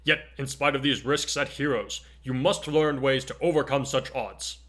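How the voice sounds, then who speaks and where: A man's voice: mid-range voice, normal speed, fairly bright tone, close to an everyday voice. A man voicing a hearty, encouraging superhero teacher in a grand declaiming way, in an amateur story reading. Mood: hearty, instructive. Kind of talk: amateur dramatic fan fiction reading.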